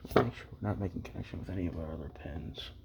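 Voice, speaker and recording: Man, gravelly creaky voice, casual DIY tutorial, short muttered offhand phrases, very loud background noise. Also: low voice, lively intonation, very fast. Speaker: DIY hobbyist demonstrating a repair. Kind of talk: casual hands-on tutorial, talking while working.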